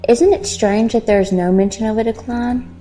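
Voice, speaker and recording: A curious, sceptical companion played by a woman in an audio drama, with a mellow, soft-edged voice. She asks questions drily over faint music. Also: fairly high pitch, steady tone, normal speed, natural voice. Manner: questioning, dry. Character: curious, sceptical young female travelling companion.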